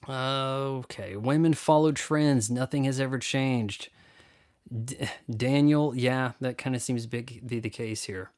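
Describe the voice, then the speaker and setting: A man hosting a live stream, casually answering viewer questions in a blunt, opinionated, rambling way. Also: mid-range voice.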